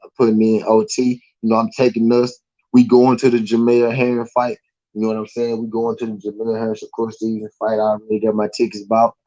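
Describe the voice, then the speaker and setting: A man's voice: lowish voice, monotone, quick pace, warm tone, dull audio. A man with a Southern US accent hosting a live stream, chatting casually in a laid-back, slangy, rambling way with lots of fillers. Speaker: podcast host.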